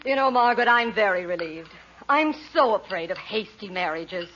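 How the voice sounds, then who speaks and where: A woman's voice: medium pitch, expressive, moderate pace, a bit bright, slight background noise, natural voice. An American actress playing a snobbish, theatrical middle-aged mother in a 1940s radio comedy. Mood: smugly condescending. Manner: haughty, theatrical. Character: snobbish, easily affronted middle-aged mother, grand and fainting. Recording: dull vintage 1940s radio recording.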